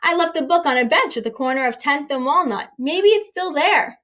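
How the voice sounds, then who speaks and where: A sporty woman gym teacher from a storybook, acted by a reader talks in a raised, high pitch. She is helpful and upbeat. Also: normal speed, sharp tone.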